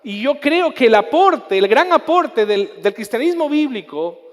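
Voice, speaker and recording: A male pastor with a Chilean accent, preaching with passion, repeating himself and restarting phrases, then praying softly and intimately. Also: very high voice, sing-song, measured pace, in a large hall. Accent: Chilean Spanish. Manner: impassioned preaching with repetitions and restarts; soft, intimate prayer. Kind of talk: sermon ending in prayer.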